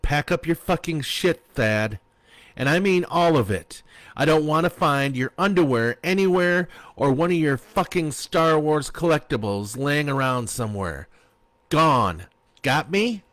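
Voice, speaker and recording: An enraged, betrayed wife spits curses and threats in a high, strained voice, as a male storyteller puts her on. The delivery is harsh and cutting, and it comes across dry and close with no room sound. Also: animated, measured pace, fairly bright tone, no echo. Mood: seething. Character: furious betrayed wife, a woman, blunt and foul-mouthed.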